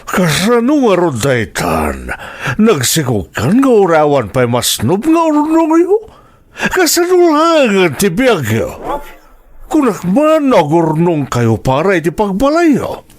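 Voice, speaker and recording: A man's voice: high voice, wildly swooping pitch, slow pace, full character voice. An actor with an Ilocano accent playing a domineering, disapproving older father-in-law in a radio drama. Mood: scolding, disapproving.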